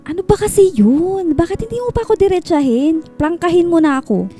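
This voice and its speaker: A woman's voice: extremely high pitch, animated, brisk, warm tone, soft background music. A woman playing a smitten, teasing, naive young woman with a Filipino accent in a radio drama. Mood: impatient, shocked.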